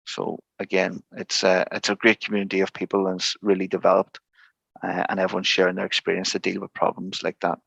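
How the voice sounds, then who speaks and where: A man speaking as a guest expert in an informal online tutorial, encouraging but halting, over slightly dull call audio. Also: deep voice, completely flat delivery, creaky, fairly bright tone, husky, no echo.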